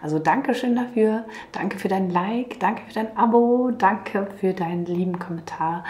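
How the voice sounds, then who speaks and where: A hoarse woman hosting a painting channel, talking gently and half-whispering in a calm, chatty way. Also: medium pitch, normal speed. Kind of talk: calm chatty vlog talk.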